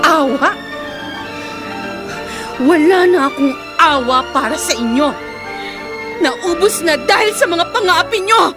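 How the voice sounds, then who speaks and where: A woman's voice: sits high, animated, music in the background. An actress playing an embittered, oppressed woman bent on revenge in a melodramatic radio drama, tearful and intense. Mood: vengeful, anguished. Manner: vengeful, tearful, slow and intense.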